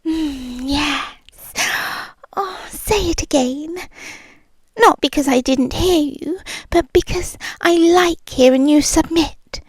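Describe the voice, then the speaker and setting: A possessive, obsessive young girlfriend with a British accent, played by a woman, whispering softly and breathily. Her voice sits high and moves very slowly, each word lingered over with menacing sweetness. The sound is dry and close, with no room around it. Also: no room sound. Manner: slow, intimate, menacingly sweet. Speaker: ASMR roleplay performer. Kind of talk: ASMR roleplay. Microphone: close microphone.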